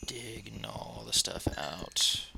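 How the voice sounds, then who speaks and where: Low gravelly man rambling over gameplay. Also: takes it slowly, heavy background noise. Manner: relaxed, rambling, talks to himself. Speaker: let's play host. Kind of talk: casual gameplay commentary.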